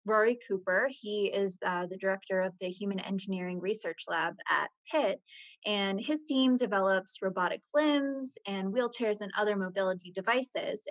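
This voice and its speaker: A woman presenting as a reporter, explaining in a conversational, halting way as she thinks aloud. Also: medium pitch, dry recording.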